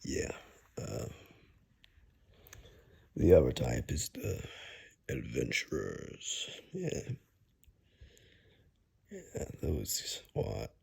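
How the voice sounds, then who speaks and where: A man playing a gruff, wry forest ranger and monster hunter in an ASMR roleplay, speaking in a hushed, halting way with dry humor. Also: huge pitch swings, exaggerated voice. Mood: grim, dry. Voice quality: very deep, gravelly, husky. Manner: slow, halting, hushed, dryly joking. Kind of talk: ASMR roleplay, one-sided conversation.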